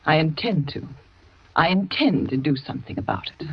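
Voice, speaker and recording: An actress playing a frightened, suspicious young wife in a 1940s radio drama, talking in a tense, wary, questioning way. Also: female voice, sits low, lively intonation, moderate pace, husky, full character voice. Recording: dull lo-fi old radio recording.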